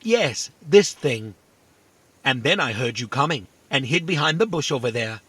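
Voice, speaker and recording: An actor playing a small, talkative robot in a kids' audio drama, literal, fussy, indignant and boastful. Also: male voice, mid-range voice, lively intonation, normal speed, fairly bright tone, breathy edge, no echo. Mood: proud, matter-of-fact. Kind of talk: scripted children's audio fiction acting.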